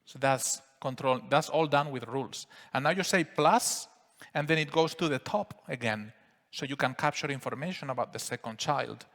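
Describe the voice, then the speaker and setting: A man with a Spanish accent giving a relaxed live software demo at a conference, explaining steps with frequent fillers. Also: medium pitch, normal speed. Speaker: software presenter. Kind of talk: live product demo talk. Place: conference hall. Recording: reverberant conference hall.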